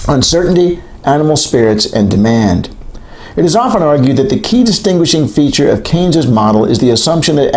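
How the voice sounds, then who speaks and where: Higher-pitched man reading scholarly narration. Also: darkish tone. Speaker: author reading an audiobook chapter. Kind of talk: scripted narration of an economics textbook.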